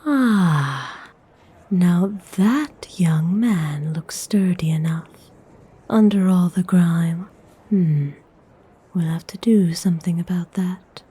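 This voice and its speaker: Actress for aristocratic female vampire, ASMR roleplay: very mellow, breathy, lowish pitch, aloof and world-weary. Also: exaggerated voice. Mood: appraising. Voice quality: soft, breathy, often whispered. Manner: formal, unhurried, aloof. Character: refined, world-weary vampire noblewoman seeking a meal.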